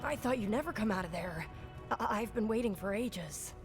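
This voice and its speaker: An actress playing a fretful young relative in a fantasy video game, stammering and pleading earnestly. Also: female voice, medium pitch, animated, normal speed, close to an everyday voice. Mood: anxious, pleading. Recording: loud music behind the voice.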